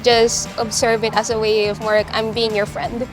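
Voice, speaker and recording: A woman's voice: on the high side, little pitch movement, moderate pace, over music. A young woman with a Filipino accent, chatting in an interview and often searching for words with a giggle. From Philippines. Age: young adult. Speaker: interviewee, psychology student. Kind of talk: casual chat. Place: coffee shop in a mall.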